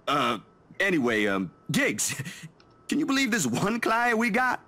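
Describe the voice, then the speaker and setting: A man's voice: sits high, wildly swooping pitch, takes it slowly, bright, forceful tone, exaggerated voice. A man playing an easygoing, joking young man in a visual novel game, chatting casually as a caring friend. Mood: awkward, chatty.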